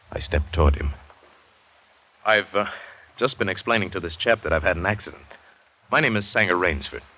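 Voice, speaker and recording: A man's voice: rumbling low, breathy edge, full character voice. An actor playing a shipwrecked American big-game hunter who narrates his ordeal dramatically in an old-time radio drama. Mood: polite, uneasy. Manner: dramatic, vivid storytelling. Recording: dull vintage radio recording, music behind.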